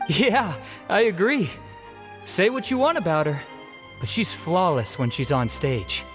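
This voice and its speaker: A man's voice: high-pitched, animated, a little slow. A man voicing an admiring theatergoer in a game, talking in a casual, appreciative way. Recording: crowd and music behind.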